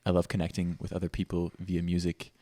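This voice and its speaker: Deep-voiced young man, relaxed and thoughtful. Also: quick pace, dry recording. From Minnesota, USA. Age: 20s. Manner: relaxed, thoughtful, trailing fillers. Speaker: pianist guest. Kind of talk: casual conversational interview.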